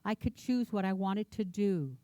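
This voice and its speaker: A woman's voice: mid-range voice, animated, normal speed, dark tone, no echo, muffled recording. A woman minister, a native Ukrainian speaker, gives an earnest, reflective personal sermon in a church, repeating words for emphasis.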